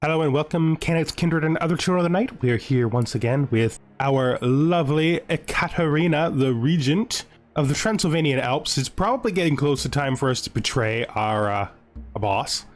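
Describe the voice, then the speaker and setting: A man hosting a gaming video with playful commentary, talking to himself in an animated way and often repeating phrases. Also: a little high, moderate pace. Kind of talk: playful gameplay commentary with a scripted lore narration.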